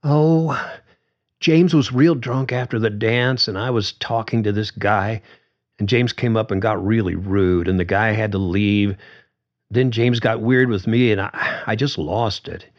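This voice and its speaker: A man's voice: fairly low pitch, highs cut off, voice pushed up, lightly acted. A man voicing a young woman, a daughter who answers her father hesitantly. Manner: hesitant, halting replies. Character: young woman, daughter, shaken but frank.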